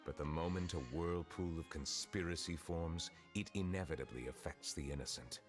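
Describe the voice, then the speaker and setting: A very deep, measured male voice, from an actor playing an ancient dragon turned judge, formal and solemn in every word. Loud music runs under him in the game. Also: natural voice.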